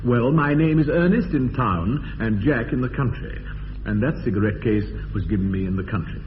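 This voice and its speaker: A man acting as a stuffy young English gentleman sounds out through a narrow, tinny radio recording with long reverb. He is upper-class, evasive and easily affronted, his pitch rising and falling sharply over formal phrases. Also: lively intonation, normal speed, slight background noise, lightly acted. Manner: pompous, indignant, clipped formal phrasing. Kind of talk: radio drama acting of period comedy.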